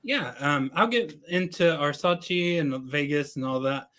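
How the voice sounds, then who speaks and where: Guy podcast host, easygoing, slightly high voice, just chatting. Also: measured pace, a bit bright. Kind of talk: casual interview chat.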